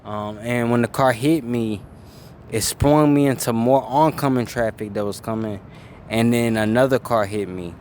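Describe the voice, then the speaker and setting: Young man, interview guest, mid-pitched voice, easygoing casual speech. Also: moderate pace, slight background noise. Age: 20s. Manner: laid-back, drops "bro" and "man", trails off softly.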